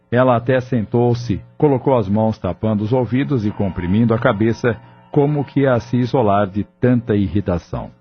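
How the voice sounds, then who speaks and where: A man narrating an audio novel in a measured, solemn storytelling manner. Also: medium pitch, slightly rough. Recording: dull lo-fi audio with faint music.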